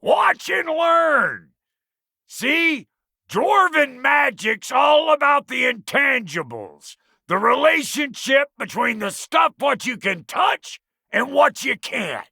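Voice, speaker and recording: A man voicing a gruff, impatient, foul-mouthed dwarf blacksmith in a video game, with a gravelly, raspy voice and folksy, irreverent talk. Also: very high voice, very animated, takes it slowly, sharp tone, dry recording, studio quality, exaggerated voice. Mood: excited, cocky. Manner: folksy, irreverent, colloquial grammar. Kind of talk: video game character dialogue.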